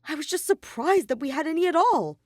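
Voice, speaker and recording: A woman's voice: sits high, lively intonation, brisk, no echo, studio quality, pitched above the performer's own voice. A woman voicing an earnest, anxious young male detective in an audio story, wary and easily flustered. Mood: startled, indignant. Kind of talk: fan fiction audio story character voice.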